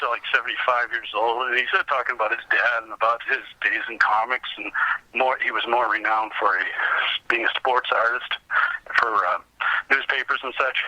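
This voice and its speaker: A man telling anecdotes as a casual interview guest, halting and rambling as he recalls details. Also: mid-range voice, sharp tone, no echo, low-quality recording. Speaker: podcast guest, comics researcher.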